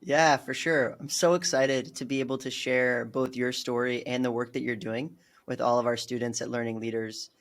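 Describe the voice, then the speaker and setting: A man's voice: medium pitch, flat delivery, moderate pace, a bit bright. Upbeat male podcast coach host. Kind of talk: podcast interview.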